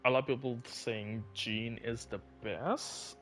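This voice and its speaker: A man's voice: mid-range voice, fairly even intonation, slow pace, a bit bright, a little hiss or hum. A man giving casual live commentary as a game streamer. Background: game music behind the voice.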